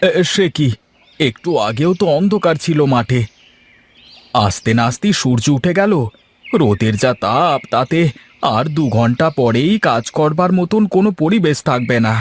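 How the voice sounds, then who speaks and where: A man's voice: fairly high pitch, animated, normal speed, a little hiss or hum, lightly acted. A man voicing a weary, grumbling village farmer in a cartoon folk tale, with exclamatory, folksy talk.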